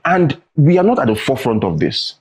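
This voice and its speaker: A man's voice: medium pitch, very animated, brisk, slightly rough. A young adult man with a Nigerian accent, a guest in a studio interview, explaining earnestly and often asking "right?" or "you know". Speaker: tech training founder, guest.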